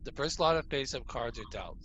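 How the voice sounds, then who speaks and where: Man, bright forceful voice, medium pitch, scripted narration, stage directions, faint noise. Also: animated, brisk. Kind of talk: scripted narration at amateur table read.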